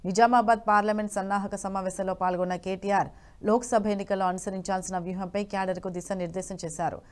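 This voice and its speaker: A young woman news anchor reading headlines in a formal, brisk studio delivery. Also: mid-range voice, fairly even intonation, a little mellow, clean tone.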